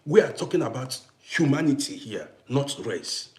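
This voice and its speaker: A man's voice: medium pitch, very animated, moderate pace, reverberant. A middle-aged man from Congo hosting a casual live stream, talking emphatically, repeating himself and greeting viewers by name. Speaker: TikTok live host.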